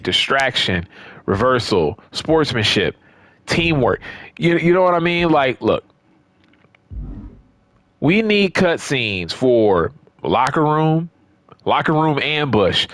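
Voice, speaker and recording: A man's voice: medium pitch, a bit bright, dry recording, studio quality. A man giving casual, off-the-cuff commentary on a video game, emphatic and repeating words for stress. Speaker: gaming video creator.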